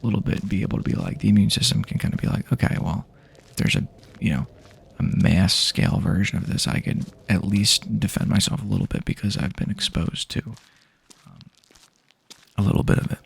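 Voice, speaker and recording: A man improvising an ASMR roleplay monologue in a soft, breathy half-whisper, rambling hesitantly with frequent pauses. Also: fairly low pitch, steady tone. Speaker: ASMR performer playing a companion on a post-apocalyptic journey. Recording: faint background ambient noise.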